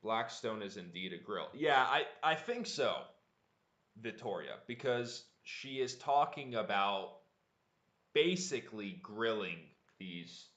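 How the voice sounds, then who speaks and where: Slow, low-voiced male teacher in an echoey room. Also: a bit bright. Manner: deliberate, repeats words for learners. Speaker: English teacher, livestream host. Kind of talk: live online language lesson, answering viewer chat.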